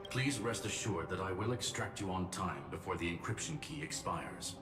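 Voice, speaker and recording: A man's voice: deep voice, lively intonation, moderate pace. A man playing a logical, courteous genius in a video game, formal, precise and unflappable, echoing over music. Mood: composed, precise. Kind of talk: dramatic game voice acting.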